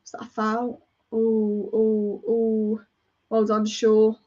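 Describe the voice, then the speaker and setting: A woman's voice: fairly high pitch, completely flat delivery, takes it slowly, mellow, dull audio. A woman commentating a football match as a fan on a live watch-along, chatty and partisan, rambling and reacting to the play as it happens.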